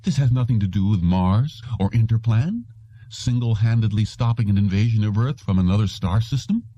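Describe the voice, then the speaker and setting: A man's voice: on the low side, normal speed, mellow, voice pushed up, lightly acted. A man voicing a nervous, fretful, heavy-set businessman who muses and mumbles in an audiobook. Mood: bemused, mumbling. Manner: mumbling, musing. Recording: roomy, dull lo-fi audio.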